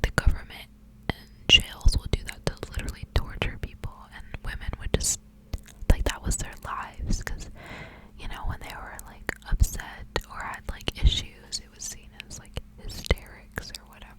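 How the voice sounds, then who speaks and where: A woman speaking in a breathy whisper in the style of an ASMR reader, softly reading and chatting. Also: normal speed. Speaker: ASMR reader hosting a book series. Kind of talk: whispered ASMR storybook reading with casual asides.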